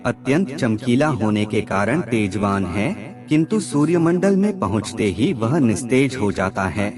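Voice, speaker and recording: A man reading aphorisms as scripted narration, in a measured, formal reciting manner. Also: medium pitch, sing-song. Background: background music.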